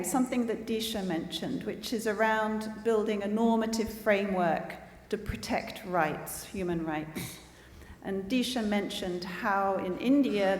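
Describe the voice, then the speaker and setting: Woman giving an earnest conference speech. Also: medium pitch. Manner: earnest, measured, with frequent restarts. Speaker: conference panelist. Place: large conference hall. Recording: roomy hall reverb.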